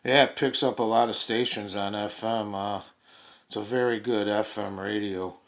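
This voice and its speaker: A man's voice: lowish voice, steady tone, normal speed, a bit bright. A man giving a casual, unscripted product demonstration in a hesitant, rambling, folksy way. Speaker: radio reviewer demonstrating a portable radio.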